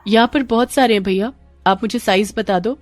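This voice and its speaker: A woman's voice: a little high, brisk, close to an everyday voice. A woman voicing a poor, hardworking young mother who sells on the street, speaking earnestly and emotionally in an audio storybook, with music behind. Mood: helpful. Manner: earnest, pleading, polite, emotional. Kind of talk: acted dialogue in audio storybook.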